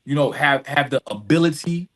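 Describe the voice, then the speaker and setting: A man's voice: a little high, expressive, moderate pace, a bit bright. A man speaking as a podcast guest in earnest, conversational storytelling, repeating phrases for emphasis and often checking in with his listener. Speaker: podcast guest, advocate and executive director.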